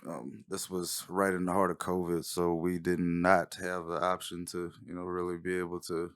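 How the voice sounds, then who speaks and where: A man giving a casual introduction as a writer-director, speaking in a hesitant, halting way. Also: low voice, monotone, clear, resonant tone. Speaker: writer-director presenting a recording.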